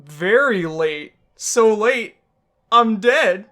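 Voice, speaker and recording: A man's voice: sits high, lively intonation, extremely slow, a bit bright. A man giving casual, playful commentary as a gaming co-host, fond of puns. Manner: playful, pun-loving.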